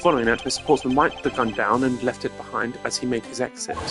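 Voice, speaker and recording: A man plays a composed male prosecutor from a mystery game, formal and deliberate, setting out his reasoning with measured care. Music plays clearly behind him. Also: mid-range voice, fairly even intonation, natural voice. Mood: assured, explaining.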